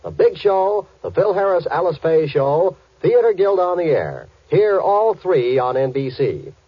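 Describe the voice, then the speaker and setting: A man's voice: on the high side, big pitch swings, a little slow, husky, dry recording. A man reading a showy, emphatic 1950s radio network promo. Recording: dry, lo-fi old radio broadcast.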